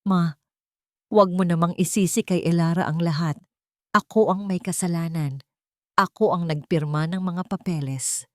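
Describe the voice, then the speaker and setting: A woman voicing a frightened, regretful young man speaking softly in an audio story. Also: lowish voice, normal speed, pauses often, no room sound, clean studio sound, natural voice. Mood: remorseful. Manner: quiet, regretful. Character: young adult son, remorseful and frightened.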